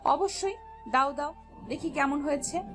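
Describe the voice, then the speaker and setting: Woman acting high-voiced, teasing young woman. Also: normal speed, lightly acted. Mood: delighted. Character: teasing, playful young woman, older girl friend. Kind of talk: romantic audio story dialogue. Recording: music bed behind voice.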